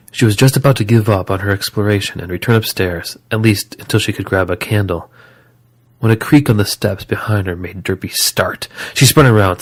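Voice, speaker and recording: A man reading scripted story narration as the narrator of an audio drama. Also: fairly low pitch.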